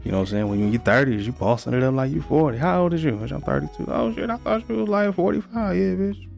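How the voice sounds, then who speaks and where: A man's voice: on the high side, animated, fast, vocal fry, a little mellow, soft background music. A man in his thirties co-hosting a podcast, chatting casually and giving blunt, profane life advice. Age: 30s. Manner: laid-back, profane, advice-giving storyteller. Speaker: podcast co-host. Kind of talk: casual chat.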